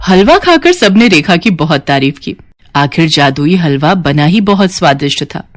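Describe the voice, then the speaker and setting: A woman's voice: low-pitched, sing-song, moderate pace, no echo. Woman narrating a children's story.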